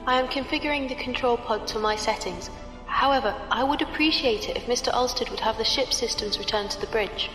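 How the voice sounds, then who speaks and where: A woman's voice: on the high side, fairly quick, music in the background, lightly acted. A woman acting a composed, precise, formal starship navigator in a sci-fi audio drama. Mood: calm formal. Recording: echoey reverberant hall sound.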